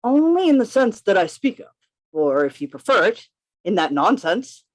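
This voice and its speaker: A man's voice: high voice, big pitch swings, moderate pace. A man reading the part of a witty, chivalrous poet who narrates his own adventure in a radio play, in formal, theatrical language over a video call. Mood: playfully argumentative.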